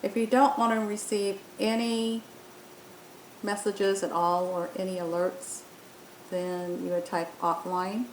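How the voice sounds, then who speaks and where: Female demo presenter, medium pitch, explains software step by step, unscripted, in an echoey room. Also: flat delivery, takes it slowly. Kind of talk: unscripted software tutorial narration. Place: indoor room, voice-over on screen recording. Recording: roomy echo, faint rumbling noise.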